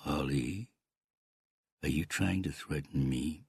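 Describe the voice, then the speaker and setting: Middle-aged Canadian uncle, acted by a man, deep, controlled, quietly menacing, dry audiobook sound. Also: slow, creaky, husky, no echo, full character voice.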